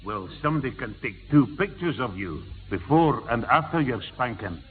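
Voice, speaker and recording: A tinny old radio recording with a man playing a peppery older family man. He is brusque and commanding, his voice jumping about in animated sixties sitcom style. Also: medium pitch, moderate pace, slight background noise, close to an everyday voice. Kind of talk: 1960s radio sitcom acting.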